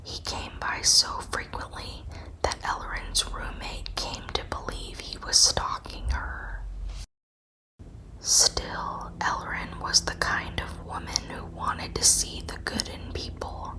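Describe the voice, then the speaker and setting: A woman narrating a script in a hushed, breathy ASMR whisper, with measured delivery. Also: rough recording. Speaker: true crime ASMR narrator.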